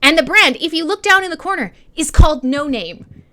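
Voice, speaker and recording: Western canadian lady radio host, really bright voice, kinda high, sweary casual chat with listeners. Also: lively intonation, fairly quick. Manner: irreverent, sweary, chatty and informal. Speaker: online radio show host.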